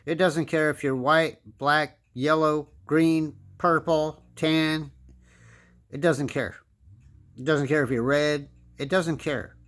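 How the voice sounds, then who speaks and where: A man's voice: on the high side, steady tone, slow, fairly bright tone. An American man in his fifties giving an emphatic, lecturing opinion monologue as a political commentator, repeating phrases for stress. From United States. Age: 50s.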